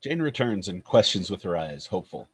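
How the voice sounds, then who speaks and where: Guy narrating script directions, really low raspy husky voice. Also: very animated, a little fast. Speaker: narrator reading stage directions.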